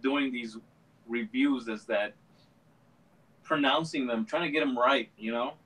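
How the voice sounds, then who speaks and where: A man's voice: medium pitch, a little slow, fairly bright tone, low-quality recording. A man who is a guest on a casual livestream, chiming in easygoing remarks.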